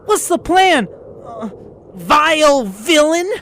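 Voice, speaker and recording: A woman's voice: high voice, big pitch swings, slow pace, fairly bright tone, a little hiss or hum, exaggerated voice. A woman playing a plucky, eager young boy hero in an audio drama, spirited and exclamatory. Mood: defiant, probing.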